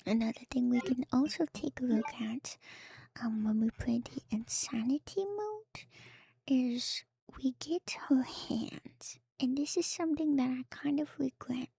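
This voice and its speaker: Female ASMR streamer, breathy whisper with fry, musing and unhurried, close-miked. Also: sits high, warm tone. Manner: hesitant, musing, unscripted. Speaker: livestreamer host. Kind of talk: whispered ASMR video game lore commentary.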